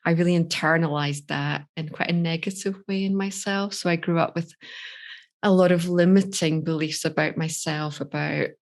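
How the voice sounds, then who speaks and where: A Scottish woman with a notably low voice speaks openly and reflectively as a podcast guest. She is middle-aged and shares her story at a relaxed conversational pace, with an easy laugh. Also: normal speed. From Scotland. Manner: reflective, candid, laughs easily. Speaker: life coach guest. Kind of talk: podcast interview, personal story.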